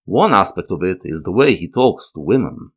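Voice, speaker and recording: Low-voiced man narrating with big swings. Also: very animated, moderate pace, slightly rough. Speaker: video essay narrator. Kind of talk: scripted analytical narration.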